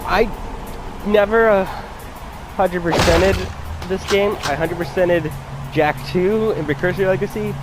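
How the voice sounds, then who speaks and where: A man's voice: high-pitched, steady tone, slow pace, long pauses. A man giving casual let's play commentary as the host of a gameplay video. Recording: game music loud behind voice. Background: video game music and vehicle sounds.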